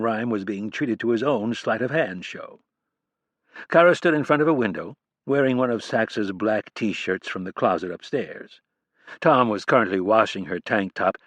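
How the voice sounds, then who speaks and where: Measured male audiobook narrator, dry sound. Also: on the low side, no room sound. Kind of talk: scripted narration.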